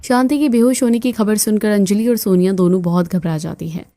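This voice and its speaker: Mellow-voiced woman narrating a story. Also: mid-range voice, brisk, slight background noise. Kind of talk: scripted cartoon story narration.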